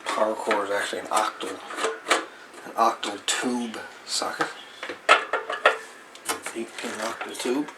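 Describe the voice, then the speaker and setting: A man giving a casual, folksy, hands-on repair walkthrough, talking in short, unhurried pieces. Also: fairly low pitch, fairly even intonation. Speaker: host of a radio repair channel. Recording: loud background noise with clicks.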